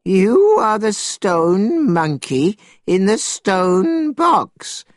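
Ancient village elder, high swooping pitch, very slow, astonished, exclaiming, storybook voice. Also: male voice, big pitch swings, no room sound, clean studio sound, exaggerated voice. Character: very old man, astonished village elder of 130. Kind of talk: storybook character voice.

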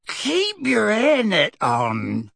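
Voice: medium pitch, huge pitch swings, slow, slightly rough, no echo, studio quality, heavily acted. A narrator voicing a shifty, cowardly middle-aged male petty crook who mumbles and stammers. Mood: flustered. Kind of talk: audiobook character voice.

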